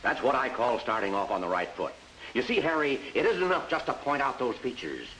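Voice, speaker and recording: An actor playing a bossy, emphatic sales mentor who narrates and coaches in a 1950s industrial training film. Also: male voice, mid-range voice, very animated, a little fast, a bit bright, slight background noise, poor audio. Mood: urging, corrective. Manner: instructive, emphatic, salesmanlike delivery. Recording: vintage 1950s film soundtrack with music.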